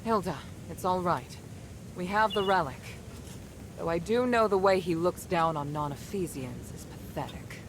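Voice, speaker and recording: A woman acts as a resolute noblewoman leader from a fantasy game. Her voice sits on the low side and stays composed and formal. There is a clear rumbling noise behind her. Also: animated, normal speed, lightly acted. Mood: reassuring. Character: resolute young noblewoman leader, loyal and proud. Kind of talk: dramatic game cutscene acting.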